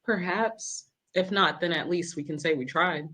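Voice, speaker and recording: A woman playing a patient but firm group counselor in a dramatic table read, measured and placating. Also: on the low side, a bit bright, breathy edge. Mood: cautious.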